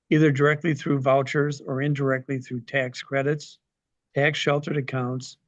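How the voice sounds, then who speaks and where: Older male moderator on a video call, mid-pitched, reading questions haltingly, dull audio. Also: dry recording. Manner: reads questions aloud with frequent ums and uhs. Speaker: forum moderator.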